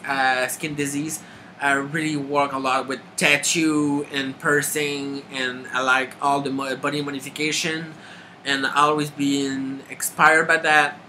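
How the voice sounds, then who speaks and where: Quebec man, thirties, bright crisp voice, stop-start delivery, faint hiss behind. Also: fairly high pitch. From Quebec, Canada. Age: 30s. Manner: halting, many restarts and filler. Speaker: makeup artist auditioning. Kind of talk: casual self-introduction to camera.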